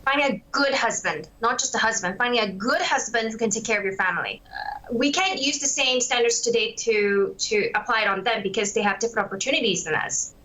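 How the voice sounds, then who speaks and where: A woman's voice: on the high side, brisk, sharp tone, poor audio. A woman in her late twenties, raised in Hong Kong and Canada, talking reflectively in a candid interview with many fillers. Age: 20s.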